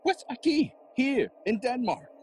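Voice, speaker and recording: An actor playing a jolly, cheeky gravedigger who riddles and teases in a theatrical bilingual Spanish-English Shakespeare audio play. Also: male voice, very high-pitched, sing-song, unhurried, exaggerated voice. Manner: teasing, sighing and chuckling, theatrical.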